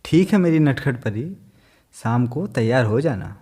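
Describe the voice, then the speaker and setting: A man voicing a gentle, doting young father in a cartoon story, affectionate in manner. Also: mid-range voice, animated, measured pace, slightly rough.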